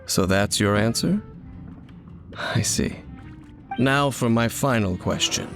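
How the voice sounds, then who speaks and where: An actor playing an aloof, mysterious wanderer in a video game, speaking in a formal, deliberate, solemn manner. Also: male voice, medium pitch. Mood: solemn, probing. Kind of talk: dramatic video game dialogue. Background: game music.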